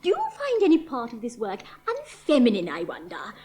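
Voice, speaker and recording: A gushing newspaper reporter in an old radio drama. Her voice is high and lively, rising and falling with condescending enthusiasm. She talks down to everyone while narrating her own headlines. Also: normal speed. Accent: English. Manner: gushing, condescending, dictates copy aloud. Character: gushing, patronising woman society reporter.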